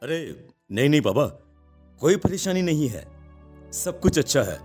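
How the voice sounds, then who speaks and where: A man playing a gentle, dutiful father with a reassuring manner in a cartoon story. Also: a little high, very animated, a little slow, faint music behind.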